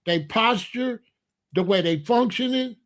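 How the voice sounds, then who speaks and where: Emphatic older man, high voice. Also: measured pace, fairly bright tone. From Chicago, USA. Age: 60s. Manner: emphatic, repetitive, blunt street talk. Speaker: commentator. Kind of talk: opinionated monologue.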